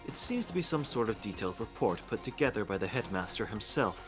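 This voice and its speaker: A man voicing a haughty, condescending teenage male student in a video game, curt, measured and impatient. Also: fairly low pitch, sing-song, music in the background. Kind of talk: amateur voice acting in a game playthrough.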